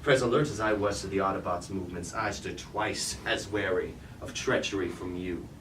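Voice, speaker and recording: A man's voice: low-pitched, fairly quick, fairly bright tone. An actor playing a proud, wrathful deposed robot warlord king, with grand, commanding, archaic delivery in a staged reading of a tragedy. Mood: commanding fury. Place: indoor staged reading at a university. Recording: roomy, distant stage recording with light noise.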